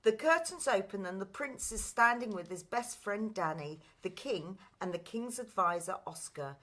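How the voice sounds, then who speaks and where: A woman's voice: medium pitch, animated, normal speed, a bit bright. A woman reading scripted stage directions as the narrator of a radio play.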